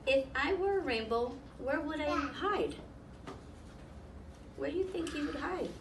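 Woman, children's read-aloud, unhurried pace, echoing classroom, distant mic, background noise. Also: sits high, expressive. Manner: pauses to ask listeners questions and explain. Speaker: volunteer children's story reader. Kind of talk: picture-book read-aloud to young children. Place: small classroom, indoors, seated on floor. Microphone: camera a few metres away.

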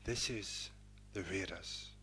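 A man hosting a poetry programme and reading verse in a measured, dramatic, declamatory manner with a husky voice. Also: low-pitched, bright, forceful tone, slight background noise. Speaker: poetry programme host and reader.

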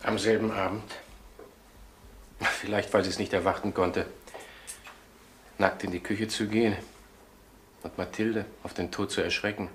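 Actor as anguished middle-aged man, low voice. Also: little pitch movement, natural voice. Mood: subdued, confessing. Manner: halting, anguished, defensive. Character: distraught, lovesick middle-aged man questioned as a suspect. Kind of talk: German crime radio drama acting. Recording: noisy old radio drama recording.

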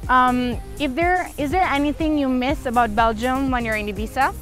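Higher-pitched woman interviewer over faint music. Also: normal speed, fairly bright tone. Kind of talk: reading short interview questions.

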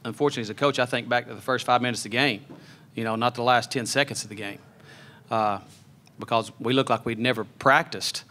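A man's voice: medium pitch, sharp tone. A middle-aged man, a basketball head coach, talking through a loss at a postgame press conference with many fillers and restarts into a table mic. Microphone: table mic on a stand.

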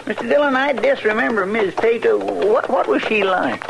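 A good-natured frontier deputy in a fifties radio western. His rural American voice sits rather high and crackles with creak, chatty and folksy. The vintage recording is noisy. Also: moderate pace. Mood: chatty, curious. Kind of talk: 1950s radio acting.

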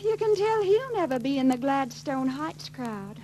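An actress playing a chatty, sly young beauty parlor operator in 1940s film style. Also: female voice, sits high, big pitch swings, warm tone. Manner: drawn-out, knowing gossip. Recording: faintly noisy 1940s film soundtrack.

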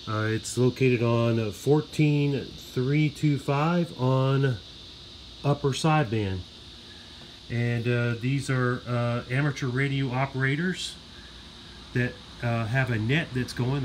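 A man casually showing his radio setup, talking with lots of "uh" pauses as he walks around his garage and yard. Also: mid-range voice. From Florida. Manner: relaxed, filler-heavy, explaining as he goes. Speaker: radio hobbyist demonstrating his gear. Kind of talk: casual show-and-tell. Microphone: handheld phone camera. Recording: noisy garage recording with radio hiss.

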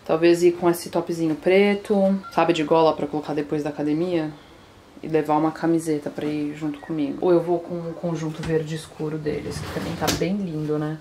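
Young são paulo woman w/ a low voice, slangy casual vlog chat at home, bit of noise behind her. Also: level tone, fairly quick, warm tone. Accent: São Paulo Brazilian. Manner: informal, slangy, self-deprecating. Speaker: vlogger. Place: small rooms at home, indoors.

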